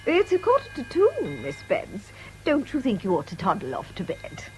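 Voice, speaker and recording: An actress in a radio drama playing a fussy, patronising nurse who coaxes in a sing-song way, as if to a child. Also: female voice, on the high side, huge pitch swings, normal speed, a little mellow, soft background music, low-quality recording. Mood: coaxing. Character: fussy, coaxing, patronising female private nurse.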